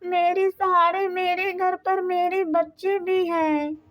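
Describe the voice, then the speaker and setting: A woman's voice: extremely high pitch, level tone, slow pace, voice pushed up. A woman voicing a poor, humble mother bird who works as a maid in a cartoon story, earnest and deferential. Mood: pleading.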